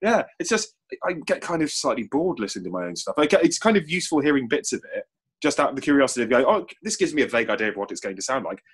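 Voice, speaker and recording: Fast-talking, slightly husky man chatting. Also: medium pitch, very animated, fairly bright tone. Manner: rambling, repeats and restarts phrases. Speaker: audio drama writer. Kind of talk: casual panel chat. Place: remote online call.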